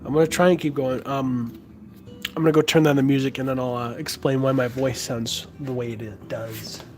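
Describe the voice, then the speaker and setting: A man with a raspy, hoarse voice after being sick, chatting casually on a livestream with halting speech and many fillers while he answers viewers. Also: mid-range voice, fairly even intonation. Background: music playing softly.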